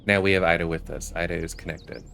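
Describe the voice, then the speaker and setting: Very low-voiced man explaining a video game. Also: little pitch movement, fast talker, gravelly, a bit bright, a little hiss or hum. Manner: explanatory, reads game text aloud. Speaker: gaming video host. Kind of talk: game walkthrough commentary.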